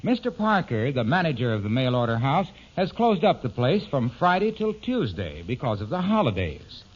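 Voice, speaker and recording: A man's voice: mid-range voice, big pitch swings, normal speed, breathy edge. A man acting as the genial storytelling announcer of an old-time radio comedy, reading scripted narration. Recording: muffled vintage radio recording.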